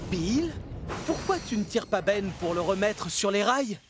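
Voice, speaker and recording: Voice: medium pitch, lively intonation, measured pace, over music, lightly acted. An actor voicing an eager, kind, anxious young male tank engine in a children's cartoon, earnest and exclamatory. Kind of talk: dubbed children's cartoon dialogue.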